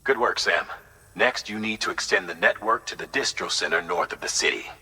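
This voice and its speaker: Husky, forceful mission commander. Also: male voice, lowish voice, animated, a little fast, full character voice. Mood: brisk, urgent. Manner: urgent, plain-spoken briefing. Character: gruff, businesslike male mission commander. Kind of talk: video game cutscene acting.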